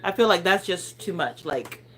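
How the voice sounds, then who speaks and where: A woman's voice: lowish voice, animated, normal speed, a bit bright. Chatty woman with an accent on a livestream. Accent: non-native English accent. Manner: chatty, rambling, laughs often, stumbles over words. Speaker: lifestyle channel host chatting on a livestream. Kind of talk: casual live chat reading viewer comments. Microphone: phone.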